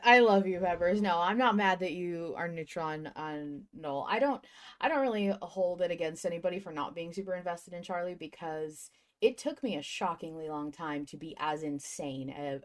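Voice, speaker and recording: A woman hosting a podcast in a casual, effusive, rambling fan-chat style. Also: fairly low pitch. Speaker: podcast host.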